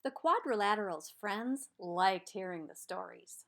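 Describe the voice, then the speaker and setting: A woman reading a children's story aloud in an expressive, deliberate way, stressing key words. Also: medium pitch. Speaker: storybook reader.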